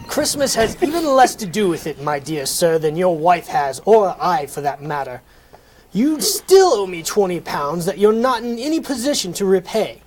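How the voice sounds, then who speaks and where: A man's voice: high-pitched, very animated, moderate pace, slight background noise. Man reading as a curt stingy miser. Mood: dismissive. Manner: curt, dismissive, dryly haughty. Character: stingy cold miserly old businessman. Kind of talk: comic table read of a play.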